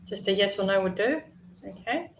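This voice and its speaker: A woman's voice: medium pitch, lively intonation, moderate pace, a little hiss or hum. A woman with an Australian accent giving an online presentation as a guest speaker, chatty, with frequent fillers and restarts.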